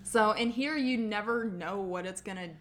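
Lady host, talkative, laughs a lot, normal pitch. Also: normal speed, fairly bright tone. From Texas. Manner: chatty, laughs easily, Southern turns of phrase. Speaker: podcast host. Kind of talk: casual interview chat.

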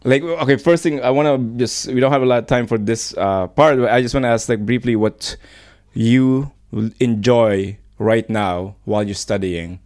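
A man's voice: mid-range voice, normal speed, a little mellow. A man hosting a live student radio show in a studio, chatting casually with many small hesitations. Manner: chatty, hesitant with frequent fillers. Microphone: studio microphone.

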